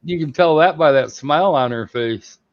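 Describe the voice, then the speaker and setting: A man's voice: a little high, normal speed. A man in his fifties chatting as a guest on a live stream, friendly and plainspoken. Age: 50s. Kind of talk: casual chat. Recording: dull lo-fi audio with faint noise.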